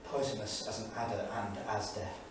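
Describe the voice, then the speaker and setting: A man's voice: on the low side, steady tone, normal speed, lightly acted. A man playing a bitter, grieving 1938 academic, reading his journal aloud in a solemn, literary, emphatic way on stage. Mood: grieving, reflective. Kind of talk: staged dramatic reading of diary entries. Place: small studio theatre, staged reading. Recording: echoey theatre, distant mic, faint noise.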